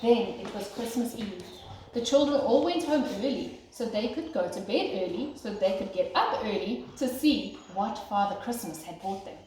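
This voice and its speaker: A woman's voice: medium pitch, animated, normal speed, darkish tone. A woman teacher reading a children's storybook aloud expressively, as if to young listeners. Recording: very echoey room, faint noise.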